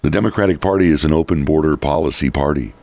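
A male talk radio host with a raspy voice, giving opinionated political commentary. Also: extremely low pitch, fast talker, a little mellow, dry recording. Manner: opinionated, reads quotes then rebuts them. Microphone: studio microphone.

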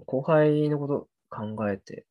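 A man's voice: fairly high pitch, takes it slowly, no echo, muffled recording. A man in his twenties chatting casually as a podcast host, speaking in loose but polite speech as a junior does to a senior friend. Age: 20s. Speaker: podcast host looking back on student days. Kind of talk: casual chat between friends.

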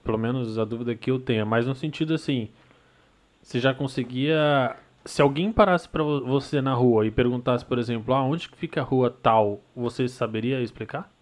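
A man's voice: mid-range voice, normal speed. Hesitant man asking questions in chat. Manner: hesitant, many restarts, laughs easily. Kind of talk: casual live chat.

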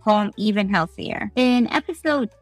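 A woman's voice: medium pitch, animated, a little slow, no room sound, faint music behind. A woman co-hosting a casual home design podcast, upbeat and chatty. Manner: upbeat, chatty, laughs easily. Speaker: podcast co-host.

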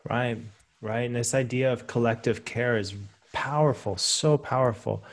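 A man with a gravelly voice hosts a coaching podcast. He fills his questions with many "uh"s and answers his guest with a steady run of affirming "right"s. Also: medium pitch, moderate pace. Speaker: podcast host, coach trainer. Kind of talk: podcast interview hosting.

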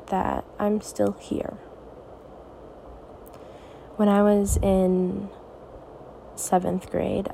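A teenage girl hosting her own podcast, talking softly and reassuringly in a raspy, tired voice late at night. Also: mid-range voice, a little hiss or hum, rough recording. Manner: soft, reassuring, hesitant. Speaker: podcast host. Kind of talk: personal podcast monologue.

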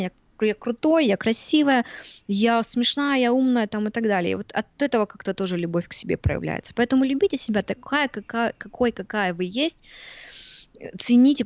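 A woman from Siberia in her thirties chats as a podcast guest, lively and quick to laugh. She acts out other people's remarks as she tells stories. The recording is dry and dull. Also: mid-range voice, no echo. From Siberia, Russia. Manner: animated, laughs often, acts out quoted voices. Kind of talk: casual interview chat.

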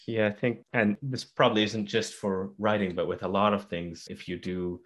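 A man's voice: fairly low pitch, steady tone, normal speed, a bit bright, a little hiss or hum. A man hosting an interview, asking curious, easygoing questions with small hesitations. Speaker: podcast host. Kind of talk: casual interview conversation.

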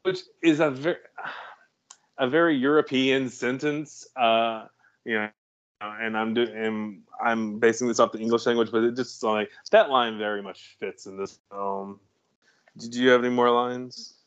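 A man's voice: medium pitch, steady tone, unhurried, lo-fi sound. A man co-hosting a podcast, chatting casually about a film, rambling and restarting his sentences and chuckling at his own jokes. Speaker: podcast co-host.

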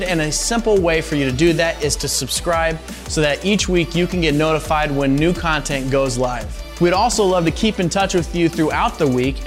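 A man with a fairly high voice reads a smooth, scripted church welcome in a friendly tone. Soft music plays underneath. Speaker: church announcer.

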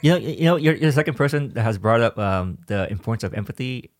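A man from Vietnam hosting a podcast, asking questions in a halting way and often repeating words. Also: medium pitch, no echo, clean studio sound. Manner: stammering, repeats words while thinking. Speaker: podcast host. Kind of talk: conversational interview.

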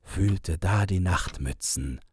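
A man narrating a fairy tale from a script in a measured, deliberate storytelling manner. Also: deep voice, darkish tone, slightly rough, no echo, studio quality.

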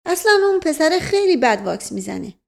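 Woman putting on a high-pitched folksy male servant. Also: big pitch swings, vocal fry, darkish tone, exaggerated voice. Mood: evasive, defensive. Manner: deliberate, colloquial, folksy. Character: slow-witted, unhurried male servant, folksy and evasive.

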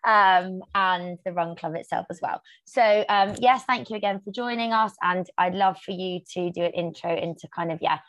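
A woman's voice: lowish voice, normal speed, few pauses, fairly bright tone. A woman hosting a podcast, reading a scripted intro and then asking questions in a friendly way with some hesitant ums. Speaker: podcast host.

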